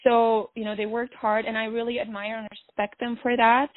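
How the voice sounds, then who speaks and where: A woman's voice: a little high, flat delivery, moderate pace, no echo, faint music behind. A woman from Bosnia, a podcast guest speaking by phone, talking earnestly and reflectively with many fillers. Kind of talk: phone interview. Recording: dry tinny phone line.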